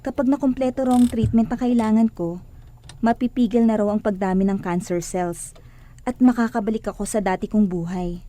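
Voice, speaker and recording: A woman's voice: mid-range voice, steady tone, brisk, dark tone, close to an everyday voice. An actress playing an earnest, devout and hopeful woman facing illness in a radio drama. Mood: calm, hopeful.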